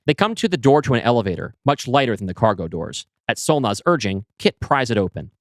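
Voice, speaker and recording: A man's voice: mid-range voice, fairly quick, no echo. Man reading a sci-fi script. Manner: even, descriptive narration. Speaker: storyteller.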